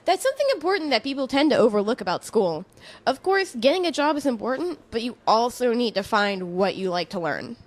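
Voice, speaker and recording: A woman playing a kindly college professor in a naturalistic audio drama, fond and gently teasing. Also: on the high side, very animated, brisk.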